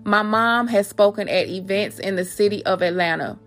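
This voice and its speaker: A woman's voice: medium pitch, moderate pace, a bit bright. Woman podcast host over a music bed. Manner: addresses listeners familiarly as guys. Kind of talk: personal podcast talk and reading aloud.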